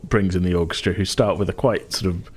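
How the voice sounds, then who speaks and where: A man's voice: sits low, steady tone, fast talker, a little hiss or hum. A man improvising narration as a tabletop game master, hesitant and conversational, as if thinking aloud. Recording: music playing behind the voice. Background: music.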